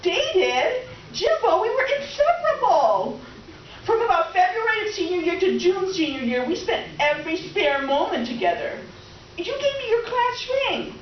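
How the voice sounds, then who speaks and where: An actress plays a melodramatic middle-aged old flame in a comic stage reading, her voice very high and lively. She gushes, clings and teeters between giggles and tears. The recording is muffled, with some noise. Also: normal speed. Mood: wounded, tearful. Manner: gushing, theatrical, swinging from laughter to tears. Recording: roomy, distant, muffled noisy live recording.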